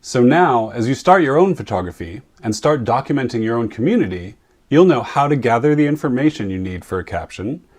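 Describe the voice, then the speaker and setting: A man who is a photographer narrates a scripted lesson in a clear, measured way. He lays out each point plainly. His voice is at an average male pitch. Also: very animated, a little mellow. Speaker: photographer and presenter.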